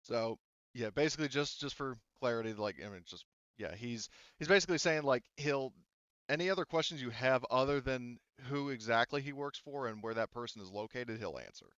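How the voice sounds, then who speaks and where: A man narrating a tabletop roleplaying game as game master, talking haltingly as he thinks aloud. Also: mid-range voice, a bit bright, smooth, no echo. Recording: dull, lo-fi, dry close sound.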